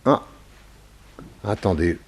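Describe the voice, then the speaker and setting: A man's voice: low-pitched, expressive, slow pace, husky, heavily acted. An actor playing a worried, wealthy middle-aged businessman in a 1960s radio serial, hesitant and stammering. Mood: puzzled, uneasy.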